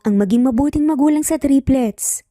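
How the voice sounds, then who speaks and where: Story role, read by a woman: guarded but sincere mother, calm delivery, soft high voice. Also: moderate pace, dry recording, studio quality, faint music behind, close to an everyday voice. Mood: calm, hopeful. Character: earnest, guarded mother, gently firm with her children. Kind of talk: dramatized storytelling.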